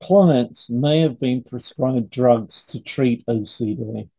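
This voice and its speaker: A man co-hosting an educational podcast, reading scripted material in a measured, instructive way. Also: mid-range voice, vocal fry, dark tone, breathy edge, rough recording. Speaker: podcast co-host, hypnotherapist. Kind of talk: scripted educational podcast read aloud in turns.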